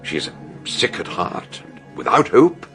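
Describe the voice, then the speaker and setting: An actor with a British accent playing a shrewd older detective doctor in a radio drama, telling his deductions with emphatic, dramatic pauses. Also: mid-range voice, sing-song, a bit bright, faint music behind. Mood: grave, sombre. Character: shrewd, theatrical older male detective doctor explaining a murder.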